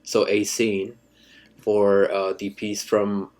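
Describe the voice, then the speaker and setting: A man in his thirties from Ecuador, a cinematographer chatting casually in an interview, halting with many restarts and fillers. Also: fairly low pitch, completely flat delivery, a little mellow. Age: 30s. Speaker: cinematographer guest.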